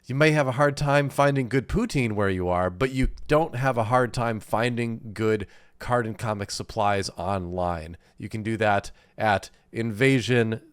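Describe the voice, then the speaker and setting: A man's voice: lowish voice, measured pace, few pauses, smooth, dry recording, studio quality. A man in his thirties co-hosting a casual podcast, nasal and congested from a cold, rambling with fillers and laughs. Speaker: podcast co-host. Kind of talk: casual chat.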